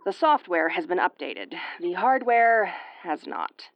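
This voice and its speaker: A woman's voice: lowish voice, very animated, a little slow, highs cut off, slight background noise. A woman playing a sardonic, sweary tomboyish young starship commander in an audio roleplay, stammering when rattled but secretly tender. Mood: weary, wry.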